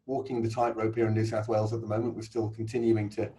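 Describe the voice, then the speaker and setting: Relaxed podcast host, lowish male voice. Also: fast talker. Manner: relaxed, chatty, friendly questions. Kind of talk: casual interview hosting.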